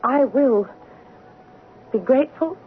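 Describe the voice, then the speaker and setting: An actress playing an earnest, proud young English governess in a radio drama, polite and restrained with deep feeling underneath. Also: female voice, animated, slow pace. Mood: agitated, proud. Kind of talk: 1970s radio drama acting. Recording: tinny, faintly noisy 1970s radio broadcast.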